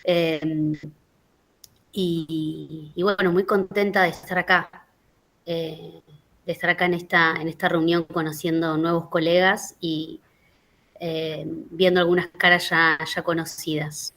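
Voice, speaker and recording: A woman's voice: fairly low pitch, little pitch movement, measured pace, fairly bright tone. A woman researcher introducing herself on a video call, hesitant and trailing off, over a bad connection. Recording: poor connection.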